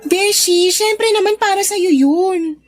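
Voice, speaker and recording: Nosy, supportive young Filipino best friend. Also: female voice, extremely high pitch, slow, faint music behind. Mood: curious, reassuring. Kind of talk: radio drama acting.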